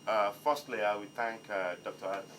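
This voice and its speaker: A man's voice: lowish voice, steady tone, a little fast, heavy background noise. A man who is not an English speaker, giving a hesitant, apologetic speech as curator at a museum exhibition opening. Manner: hesitant, apologetic, with many ums. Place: museum hall.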